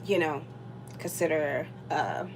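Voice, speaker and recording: A woman's voice: sits low, animated, slow, vocal fry. A woman in her thirties chatting casually to her viewers while she cooks at home, rambling with lots of fillers and sounding worn out. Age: 30s. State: tired. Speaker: vlogger. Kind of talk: casual chat while cooking. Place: home kitchen. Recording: sizzling cooking noise behind. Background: food sizzling in a pan.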